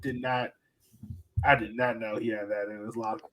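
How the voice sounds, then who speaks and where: A man's voice: lowish voice, flat delivery, normal speed, fairly bright tone, low-quality recording. A man co-hosting a sports podcast, giving casual soccer analysis in an emphatic, conversational way.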